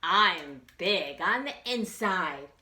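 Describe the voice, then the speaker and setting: A woman voicing a small, brave, cheeky young male bunny in a children's storybook, excitable and confident. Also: lowish voice, takes it slowly, exaggerated voice. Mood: breezy, confident. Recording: roomy echo, some background noise.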